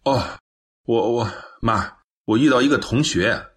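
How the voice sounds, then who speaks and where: A man's voice: lowish voice, lively intonation, moderate pace, bright, forceful tone, slightly rough, exaggerated voice. A man playing a nervous, evasive but dutiful son in a radio drama, speaking in a hesitant, flustered way.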